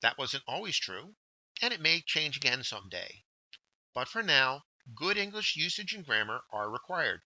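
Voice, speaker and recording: A man presenting a scripted instructional workshop, with deliberate, emphatic phrasing. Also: mid-range voice, sing-song, bright, forceful tone, dry recording, poor audio. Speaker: workshop presenter, journal editor.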